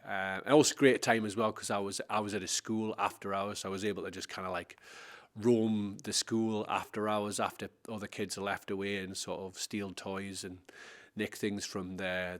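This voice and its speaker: A man in his forties, originally from Scotland, recalls his childhood in an interview. His voice sits low and stays level, with little rise or fall. He drifts from point to point, hedging with "like" and "kind of". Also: fairly bright tone. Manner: meandering, hedging with many fillers. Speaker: interview guest. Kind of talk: casual reminiscing.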